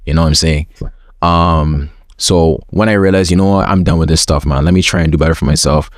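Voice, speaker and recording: A man's voice: rumbling low, steady tone, brisk, no room sound, clean studio sound. A young man as an interview guest, chatting openly in slangy talk with many repeated words. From school system with forms. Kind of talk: casual interview chat.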